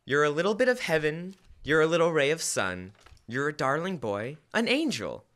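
A young man playing an earnest, fussy husband in an old-time radio comedy, with hesitant, deliberate comic timing. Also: on the high side, big pitch swings, a bit bright. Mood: reciting, deadpan. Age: teens to young adult. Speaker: student actor. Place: school library, indoors, live stage reading. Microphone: stand microphone.

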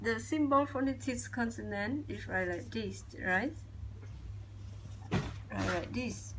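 A woman's voice: on the high side, a little hiss or hum, low-quality recording. A young woman from Cambodia with a Khmer accent, teaching her language at a whiteboard and repeating each sound over and over. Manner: deliberate, repeats sounds many times. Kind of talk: slow whiteboard language lesson. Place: indoors at a whiteboard.

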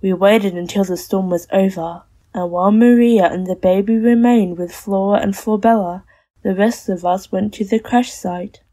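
A woman's voice: medium pitch, steady tone, normal speed, dark tone, close to an everyday voice. A woman playing a sympathetic character recounting an adventure in an audio drama. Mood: storytelling.

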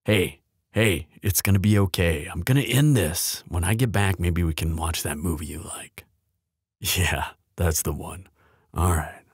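A man playing a protective, confident bodyguard and lover in an intimate boyfriend roleplay, firm and reassuring, with a husky voice. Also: low-pitched, unhurried, no room sound, clean studio sound, exaggerated voice. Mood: tender, reassuring. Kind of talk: ASMR boyfriend audio roleplay.

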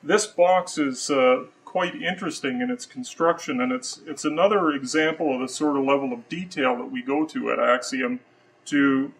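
A middle-aged man giving an unscripted technical demonstration as an engineer, in a measured, explanatory way. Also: mid-range voice, fairly bright tone. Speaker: loudspeaker engineer presenting his company's product. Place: large warehouse workshop with stocked shelving, indoors.

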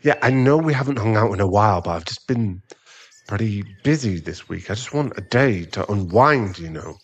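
A man playing an irritable, weary sugar daddy boyfriend who wants space, in a halting, sighing ASMR roleplay audio drama. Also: on the low side, animated. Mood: hesitant, placating.

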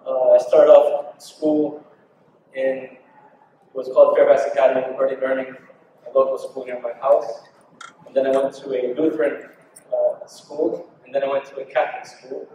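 A man in his thirties tells his story from a conference podium in a relaxed, conversational way, his pitch held level and even. His dark, mellow voice sits far from the mic. It rings through a large hall with a long echo. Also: normal speed, slight background noise. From Maryland, United States. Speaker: panel speaker, former prisoner. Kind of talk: personal talk at a podium. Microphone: podium microphone from a distance. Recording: echoey hall, distant dull mic.

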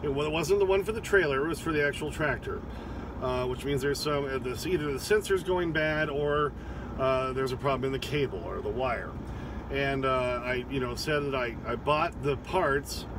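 A man's voice: medium pitch, normal speed, noisy background. Rambling man talking from his truck cab. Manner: rambling and chatty, with many fillers and restarts. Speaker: truck driver and vlogger. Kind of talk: casual vlog talk to camera.

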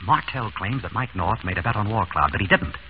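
An actor playing an urbane, wry surgeon turned amateur sleuth in an old-time radio drama. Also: male voice, on the low side, lively intonation, a little fast, natural voice. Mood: intent. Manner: urbane, wry, composed under threat. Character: suave, quick-witted male surgeon turned amateur sleuth. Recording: tinny, noisy vintage radio recording.

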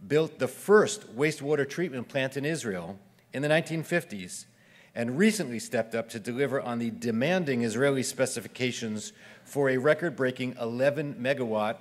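A middle-aged man hosts an event from a podium microphone in an indoor hall. He reads prepared remarks in a steady, even delivery. His voice has an ordinary mid pitch. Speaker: event host.

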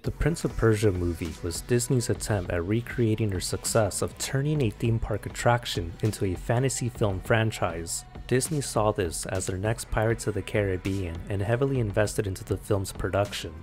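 A man's voice: lowish voice, moderate pace, hardly any pauses, darkish tone, faint music behind. A man delivering scripted narration as the narrator of a video essay.

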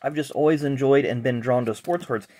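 A man's voice: mid-range voice, brisk, dark tone. Chatty man sorting old sports cards. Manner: chatty, rambling, self-deprecating asides. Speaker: sports card collector vlogger. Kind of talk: casual unboxing chat. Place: indoor card room at a table. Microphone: camera mic, hands in frame.

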